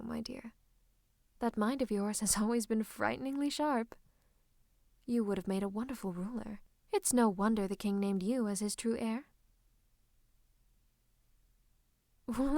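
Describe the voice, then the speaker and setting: A woman's voice: fairly high pitch, darkish tone, no room sound, clean studio sound. A woman playing a scheming royal advisor and sorcerer in an ASMR roleplay, smug and mock-courteous, slipping into menacing whispers. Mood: smug, amused. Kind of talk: ASMR roleplay, theatrical monologue to the listener.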